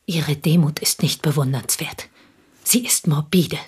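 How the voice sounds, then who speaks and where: A woman's voice: low voice, unhurried, darkish tone, husky, heavily acted. A woman playing an earnest, loyal confidante in a radio play, formal and respectful yet firm and reproving.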